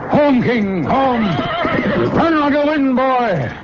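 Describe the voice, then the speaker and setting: Slow, dramatic, stubborn old man. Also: very high voice, lively intonation, full character voice. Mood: urgent alarm. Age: older. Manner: dramatic, halting, weighty. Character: proud, stubborn, ailing old father, weary former lover. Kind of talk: old-time radio drama acting. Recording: muffled old radio recording, clear noise.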